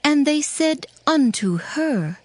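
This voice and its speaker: A woman narrating scripture from a script in a measured, formal way. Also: sits high, big pitch swings, no room sound.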